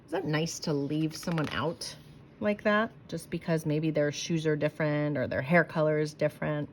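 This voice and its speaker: A woman's voice: deep voice, normal speed, a little hiss or hum. A woman reading a rhyming picture book aloud to children with emphatic, sing-song expression and short asides.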